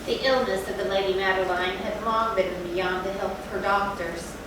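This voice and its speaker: A woman's voice: mid-range voice, steady tone, normal speed, natural voice. A woman giving a subdued, solemn dramatic narration of a gothic story on stage. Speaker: narrator in a readers' theatre. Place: live theatre stage, recorded from afar. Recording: faint, distant, echoey, noisy hall.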